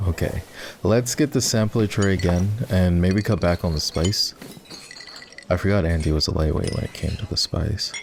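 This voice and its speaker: A man playing an ex-boyfriend turned boss in an intimate roleplay ASMR, talking in a halting, conversational way. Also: low voice, gravelly, noisy background. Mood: casual, teasing. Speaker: voice actor.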